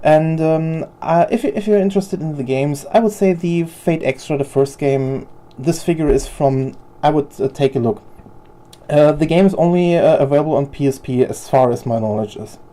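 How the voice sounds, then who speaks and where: Hesitant low-voiced woman, German native. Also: moderate pace, warm tone. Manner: hesitant, many fillers and restarts. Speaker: figure collector video host. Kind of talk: casual unscripted show-and-tell.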